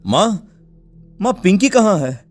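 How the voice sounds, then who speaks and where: A man's voice: high voice, sing-song, slow pace, a little mellow, soft background music, full character voice. A man voicing an anguished, pleading village father in a cartoon story. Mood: alarmed. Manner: pleading, distraught. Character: anguished village father searching for his little daughter. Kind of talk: dramatic cartoon story acting.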